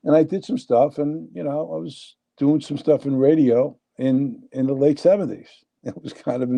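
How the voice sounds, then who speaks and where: Very dark-voiced New York man. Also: on the high side, moderate pace, creaky. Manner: rambling, animated storyteller. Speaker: interview guest. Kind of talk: casual storytelling.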